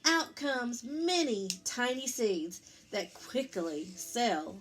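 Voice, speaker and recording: A woman's voice: fairly high pitch, sing-song, takes it slowly. A woman, a teacher reading a picture book aloud to young children, with simple explanatory asides and questions for her listeners.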